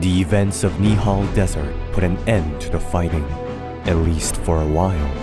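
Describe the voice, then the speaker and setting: A man's voice: deep voice, steady tone, normal speed, loud music behind the voice, lightly acted. A man performing solemn scripted narration as the storyteller of a fantasy saga.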